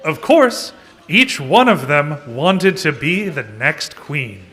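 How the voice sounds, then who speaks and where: A man narrating a children's puppet show in deliberate, expressive storybook style. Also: fairly high pitch.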